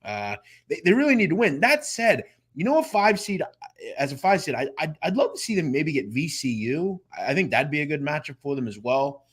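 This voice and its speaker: A man's voice: a little high, big pitch swings, a little fast, breathy edge. A man giving casual sports betting analysis in conversation, with emphatic points and asides.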